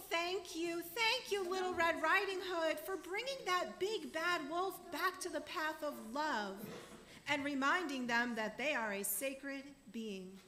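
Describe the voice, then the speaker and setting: A high, bright-toned woman delivers an earnest sermon from the front of a church. Her voice is clear and lifted, with faint room noise behind her, and she mentions she is losing her voice. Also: reverberant. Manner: warm, earnest preaching to a congregation. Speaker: minister. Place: church sanctuary.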